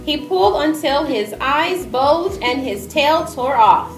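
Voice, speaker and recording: A woman's voice: high voice, lively intonation, slow. A woman reading aloud as the narrator and presenter of a stage performance. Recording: echoey room with music over it.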